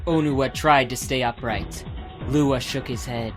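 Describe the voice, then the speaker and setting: A man's voice: mid-range voice, a little slow. A man giving a scripted, dramatic narration of a fantasy audiobook. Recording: music behind the voice.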